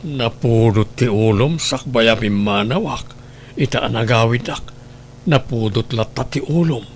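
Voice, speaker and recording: A man's voice: medium pitch, a little slow, a little hiss or hum, close to an everyday voice. Grumbling Ilocano husband acted by a man. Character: amorous, grumbling husband home from work away. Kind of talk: audio drama acting.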